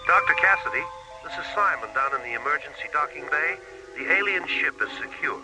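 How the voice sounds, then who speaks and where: Man playing a higher-voiced, dutiful crewman. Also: expressive, brisk, music in the background, close to an everyday voice, poor audio. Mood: alert report. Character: dutiful, slightly flustered young docking bay crewman. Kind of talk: science fiction radio drama acting.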